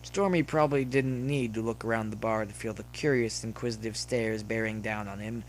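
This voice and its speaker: A man reading a comic story aloud as scripted, expressive narration. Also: lowish voice, brisk, a little hiss or hum. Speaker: narrator reading fan fiction.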